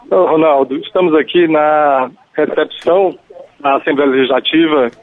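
A man's voice: fairly high pitch, steady tone, normal speed, dry recording. Male field reporter on a phone line. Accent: Brazilian Portuguese. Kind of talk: live radio field report. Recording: tinny phone-line sound.

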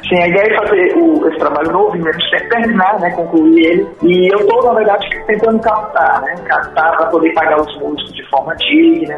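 A man talks as a guest musician, relaxed and rambling with filler tags. His voice sits fairly high for a man. The recording is roomy and a bit dull, like a radio studio. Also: a little fast, few pauses, soft background music. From Northeast Brazil. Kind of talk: radio interview answers.

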